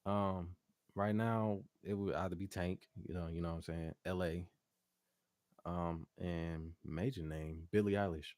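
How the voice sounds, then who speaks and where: A man with a low, deep voice talks as a guest artist in a casual interview. His delivery is informal and slangy, coming in loose bursts. The sound is dry and close, with no room reverb. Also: fairly even intonation, unhurried, a little mellow. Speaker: interview guest, R&B singer and producer.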